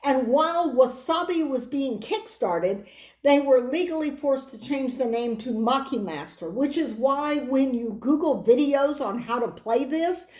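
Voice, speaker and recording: A middle-aged woman with a hoarse voice hosts a casual board game chat, drifting into side remarks as she goes. Her voice sits a little high and she speaks slowly and unhurriedly. The room around her is echoey. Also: animated, darkish tone. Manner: chatty and rambling, with side remarks and restarts. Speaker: board game channel host. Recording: roomy echo.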